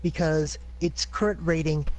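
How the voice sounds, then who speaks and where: A man's voice: fairly high pitch, fairly even intonation, unhurried, darkish tone, rough recording. Man methodically reading out game rules. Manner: methodical, plain explaining with hesitant "uh"s. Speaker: player.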